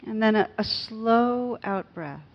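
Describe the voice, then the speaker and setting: A woman leading a guided meditation as a teacher, speaking gently and unhurriedly with pauses. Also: fairly high pitch, animated, highs cut off.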